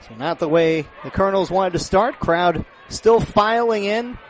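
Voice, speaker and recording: A man's voice: sits high, animated, unhurried, poor audio. A man giving live radio play-by-play commentary at a sports venue, projecting his voice in urgent, clipped phrases.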